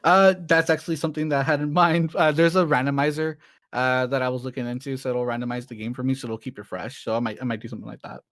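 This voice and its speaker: A man's voice: mid-range voice, steady tone, quick pace, fairly bright tone, dry recording, studio quality. A man in his late twenties, a streamer, chatting casually as an interview guest, rambling a bit and laughing easily. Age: 20s.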